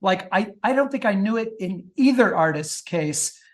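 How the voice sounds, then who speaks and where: A middle-aged man with a notably high voice talks in a casual interview. He is an enthusiastic, chatty storyteller who speaks as a guest. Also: lively intonation, normal speed. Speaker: author and film producer, podcast guest. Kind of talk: casual interview talk about music.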